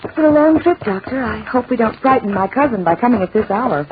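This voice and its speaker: A woman's voice: on the high side, animated, a little fast, a little hiss or hum, natural voice. An actress playing a skeptical young woman, a worried cousin, in a 1940s radio drama. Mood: concerned. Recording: muffled, tinny 1940s radio recording.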